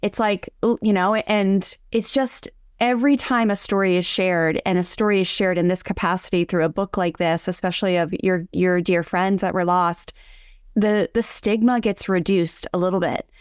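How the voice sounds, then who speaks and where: A woman's voice: mid-range voice, steady tone, moderate pace, clean tone, no room sound, clean studio sound. A woman from Southern California hosting a conversation, effusive and chatty, with plenty of fillers. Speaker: podcast host. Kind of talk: casual interview chat.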